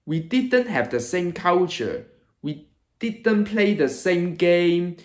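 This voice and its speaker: A man's voice: high voice, animated, takes it slowly, reverberant. A man in his early twenties with a Hong Kong accent, presenting an exaggerated, emphatic comedic monologue to camera as a video host. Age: early 20s.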